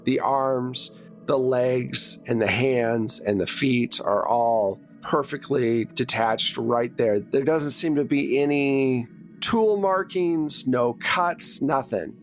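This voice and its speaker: A man narrating a horror tabletop roleplaying game as game master, in a deliberate, descriptive way. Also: mid-range voice. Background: background music.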